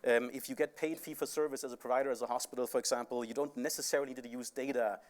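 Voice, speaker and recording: Brief: rapid male panelist, European accent, mid pitch, explanatory, filler-heavy conference talk. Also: steady tone. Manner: fast, explanatory, with frequent fillers. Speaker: panelist, insurance company CEO. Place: conference stage.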